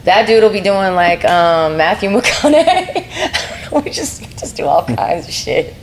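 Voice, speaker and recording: A lively woman speaking at a mid pitch, whose first language is Spanish. She chats easily as an interview guest, laughing often and sliding into impressions. Faint noise sits behind her voice. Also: unhurried. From North Carolina, USA. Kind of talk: casual interview chat.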